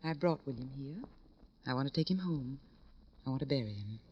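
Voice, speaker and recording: A woman's voice: rumbling low, expressive, a little fast, lo-fi sound, slight background noise. A woman acting a lonely, grieving, proud widow in a classic radio drama, melodramatic and wounded. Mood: pleading. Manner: hesitant, melodramatic, wounded pride.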